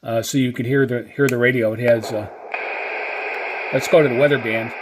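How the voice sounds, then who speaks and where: A man's voice: mid-range voice, fairly even intonation, moderate pace, background noise. A man giving a casual, unscripted product review as a channel host, speaking hesitantly and often circling back over his points.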